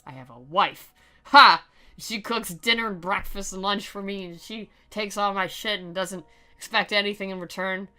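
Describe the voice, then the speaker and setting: A woman's voice: medium pitch, moderate pace, bright, forceful tone, close to an everyday voice. A woman voicing a bitter, self-pitying teenage schoolboy in an exaggerated, dramatic visual novel reading. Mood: defensive, spiteful.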